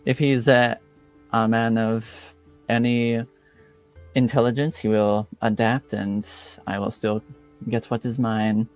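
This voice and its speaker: A man voicing a self-interested woman fixer, formal, measured and evasive, in a tabletop game. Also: medium pitch, level tone, a little mellow, faint music behind. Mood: cold, self-assured. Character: cool, guarded, self-interested woman fixer and debt collector.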